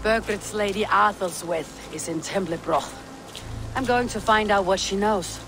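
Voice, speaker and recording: A man's voice: high voice, unhurried, music in the background. A man playing a stern, commanding young Viking warrior leader in a video game, speaking curtly and firmly. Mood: resolute. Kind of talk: dramatic video game acting.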